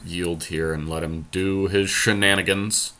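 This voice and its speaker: A man's voice: low-pitched, fairly even intonation, a little slow, pushed and bright. A man giving casual gameplay commentary, thinking aloud in informal slang as he co-hosts. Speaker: podcast co-host playing a card game.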